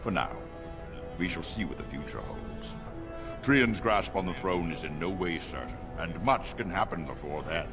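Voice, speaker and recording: An actor playing an arrogant, scheming dwarf lord in a fantasy game, with a haughty, sly, theatrical delivery. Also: male voice, low voice, expressive, moderate pace. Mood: bitter, threatening. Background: loud music.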